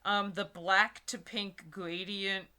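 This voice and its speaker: A woman's voice: mid-range voice, steady tone, slow pace, bright, forceful tone, clean tone. A woman chatting casually and giggly as a co-host, giving comic, mock-critical commentary. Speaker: co-host of a comedy video channel.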